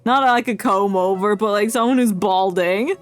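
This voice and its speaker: A woman's voice: medium pitch, moderate pace, faint music behind. A woman streaming a game with casual, irreverent joking commentary. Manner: irreverent, wisecracking.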